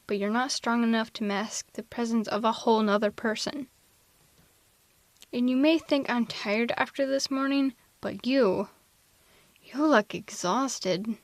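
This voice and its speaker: A woman playing a sardonic telepathic supervillain with a hidden tender side, in a theatrical, deliberate delivery for an ASMR roleplay audio drama. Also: on the high side, no echo, lightly acted. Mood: mocking.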